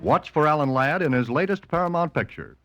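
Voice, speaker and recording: A man's voice: fairly high pitch, expressive, brisk. An American man reading scripted credits as a 1940s radio announcer. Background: music.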